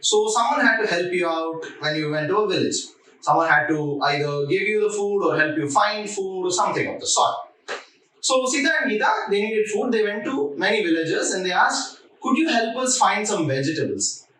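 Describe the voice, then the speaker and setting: A man's voice: sits high, fairly quick, sharp tone. A man telling a story to children in a conversational, explanatory way, often repeating his phrases. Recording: very echoey room, distant mic.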